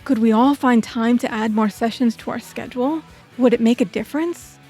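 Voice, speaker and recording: A woman's voice: fairly high pitch, moderate pace, warm tone, husky, faint music behind. A woman telling a personal story as scripted video essay narration.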